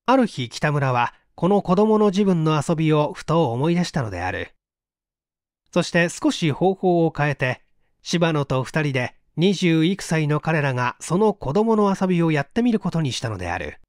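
A man reading a literary mystery story aloud as an audiobook narrator, with theatrical, expressive delivery. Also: fairly high pitch, no echo, studio quality. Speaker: audiobook reader.

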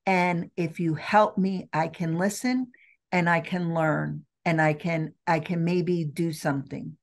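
A woman's voice: lowish voice, steady tone. A middle-aged woman speaking earnestly as a guest on a video call interview from an office, with frequent restarts. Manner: earnest, slow and deliberate with many restarts. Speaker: trade show CEO, guest. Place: office with windows. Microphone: video call webcam.

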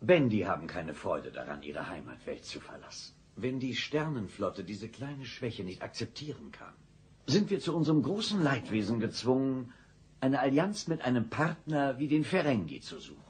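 An evasive alien administrator in a sci-fi radio play. He speaks formally and defensively, with very animated intonation and emphatic stress. Faint noise lies behind the voice. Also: mid-range voice, normal speed. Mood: stiff refusal. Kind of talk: German sci-fi audio drama acting.

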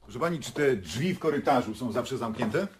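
A man's voice: medium pitch, animated, a little fast, noisy background, close to an everyday voice. An actor playing a curt, businesslike male prosecutor questioning witnesses formally in a radio drama.

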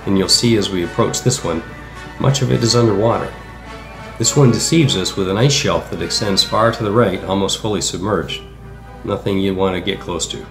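A man reading a measured, explanatory voice-over for a sailing travel vlog. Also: low voice. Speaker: sailor narrating travel vlog. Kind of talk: scripted voice-over narration. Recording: roomy echo, music underneath. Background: background music.

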